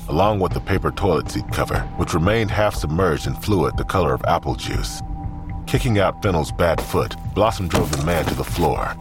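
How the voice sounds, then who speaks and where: A man narrating a scripted audio drama. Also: a bass voice. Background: music.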